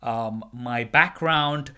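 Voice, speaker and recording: A man in his twenties hosting a podcast interview, relaxed, often trailing off quietly and chuckling. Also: mid-range voice, drawn-out words, clean tone. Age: 20s. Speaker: podcast host. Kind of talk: casual interview.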